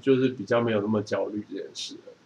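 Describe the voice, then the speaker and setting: A man's voice: on the low side, steady tone, quick pace, darkish tone. Taiwanese man chatting over noticeable background noise. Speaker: podcast host. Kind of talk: casual chat. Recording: clearly noisy background.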